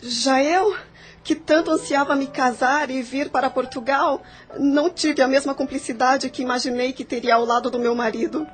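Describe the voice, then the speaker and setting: A woman playing a polite, embittered mother with deep sadness in an audio novel. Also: fairly even intonation, normal speed, natural voice. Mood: wistful, bitter. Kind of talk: audio novel dramatic acting.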